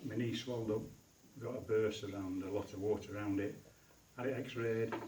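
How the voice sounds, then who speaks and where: Halting middle-aged man in an echoey hall. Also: lowish voice, steady tone, far from the mic, noisy background. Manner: plainspoken, halting, wry. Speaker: audience volunteer. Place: hall with a live audience. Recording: echoey hall recorded from afar.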